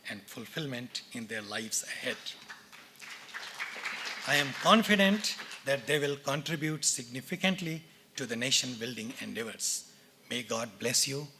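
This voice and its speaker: A man with an Indian English accent, giving a measured, formal read speech at a university convocation from a podium in a lecture theatre. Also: medium pitch, noisy background. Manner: measured, formal, reads names and titles deliberately. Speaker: department head speaking at a convocation.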